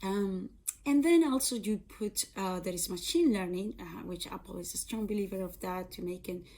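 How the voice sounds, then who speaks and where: A woman's voice: mid-range voice, normal speed, darkish tone. Colombian-accented woman telling her career story. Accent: Colombian Spanish. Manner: earnest, frequent fillers and restarts. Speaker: software engineer and data scientist. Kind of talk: personal career talk to camera.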